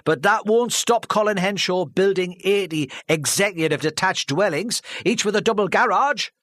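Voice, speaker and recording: A man's voice: a little high, very animated, moderate pace, no echo, pitched above the performer's own voice. A man doing a sneering village bully. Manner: loud, sneering, jeering. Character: big brash village mechanic, sneering bully.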